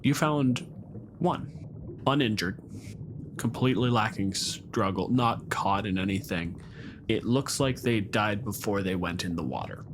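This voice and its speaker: A man's voice: fairly low pitch, a little slow, noisy background. A man working as game master, narrating a tabletop game in a dramatic, descriptive way. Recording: music behind the voice.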